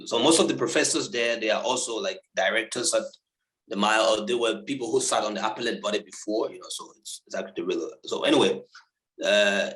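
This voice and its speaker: A man's voice: fairly low pitch, a bit bright, roomy sound, poor audio. A young man from Liberia being interviewed over a video call, talking casually with many fillers and repeated words. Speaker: interviewee, law graduate.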